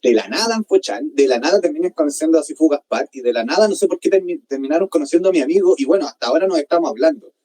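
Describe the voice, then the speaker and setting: A man with a Chilean accent, hosting an interview and chatting in a lively, friendly way. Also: fairly high pitch, racing, hardly any pauses. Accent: Chilean Spanish. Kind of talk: casual interview chat.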